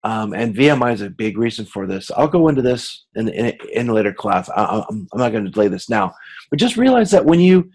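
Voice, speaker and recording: A man's voice: lowish voice, very animated, brisk, a little mellow. A man lecturing in a conversational, explanatory way, speaking directly to listeners with hesitations, repeated words and self-corrections. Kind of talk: informal lecture on business.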